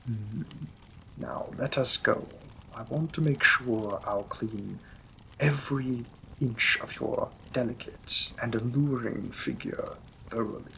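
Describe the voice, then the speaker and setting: A man's voice: mid-range voice, vocal fry, slight background noise, exaggerated voice, poor audio. A man voicing a devoted demon king in a romantic fantasy roleplay, speaking intimately and formally with teasing, possessive affection. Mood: teasing, seductive. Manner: slow, intimate, formal wording, gently amused.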